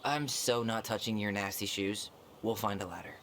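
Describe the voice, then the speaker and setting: A man's voice: lowish voice, steady tone, moderate pace, fairly bright tone, close to an everyday voice. A man playing a wry, laid-back young twin brother in a video game, with an American accent and naturalistic delivery. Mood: focused, teasing. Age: young adult. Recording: game audio with background noise.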